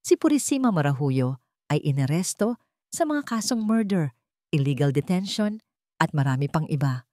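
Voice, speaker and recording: A woman's voice: low-pitched, very animated, moderate pace, darkish tone, no echo, studio quality. A woman with a Filipino accent narrating a dramatic audiobook story from a script.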